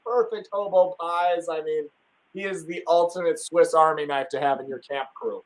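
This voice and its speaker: A man's voice: a little high, moderate pace, poor audio. A young man from Wisconsin hosting a casual live stream, talking enthusiastically and rambling with plenty of fillers and restarts.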